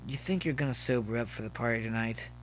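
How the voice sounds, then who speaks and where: A man's voice: mid-range voice, fast talker, fairly bright tone, lightly acted. A man voicing a cynical, cool young college pony in a story, speaking casually and wryly, with hum behind.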